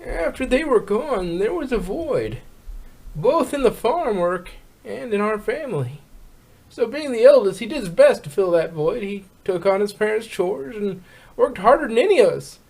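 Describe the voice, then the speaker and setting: A woman voicing a kindly elderly farm pony grandmother in a fan fiction reading, with folksy, drawn-out phrasing. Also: mid-range voice, lively intonation, a little mellow, husky, poor audio. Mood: wistful, tender. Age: old.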